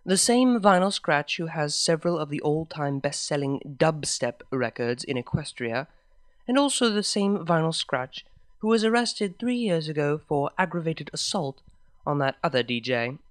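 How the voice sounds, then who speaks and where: A reader telling a fan story aloud in the first person, in a formal, measured way. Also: medium pitch, lively intonation.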